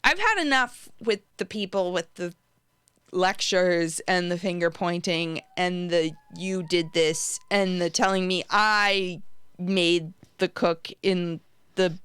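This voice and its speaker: A woman's voice: lowish voice, slow, fairly bright tone, clear, resonant tone, no echo. An American woman co-hosting a podcast, in casual chat about politics. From United States. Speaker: podcast co-host.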